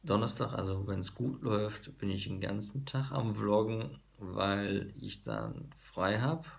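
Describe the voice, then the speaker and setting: A man in his thirties, hoarse, vlogging casually to a phone camera in a small room, halting with many fillers. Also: fairly low pitch, big pitch swings. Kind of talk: casual vlog talk to camera. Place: small bedroom office indoors. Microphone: phone camera at arm's length.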